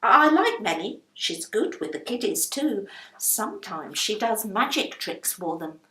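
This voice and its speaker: A woman's voice: medium pitch, big pitch swings, measured pace, pushed and bright, echoey room, low-quality recording. A woman voicing a chatty, blunt working-class London landlady in a children's storybook. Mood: guilty, fond. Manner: chatty, blunt, colloquial non-standard grammar.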